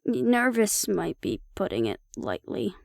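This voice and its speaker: A woman's voice: on the low side, big pitch swings, measured pace, gravelly, dry recording, heavily acted. A woman acting in an audio roleplay as a sardonic, nervous young woman who mutters dry asides to her mate. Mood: nervous, wry.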